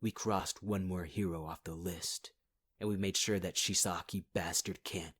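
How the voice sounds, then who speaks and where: A man voicing a scarred, possessive fire-wielding villain in an ASMR roleplay, taunting in a low half-whisper. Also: steady tone, normal speed, fairly bright tone, husky, no room sound, studio quality. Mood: scornful.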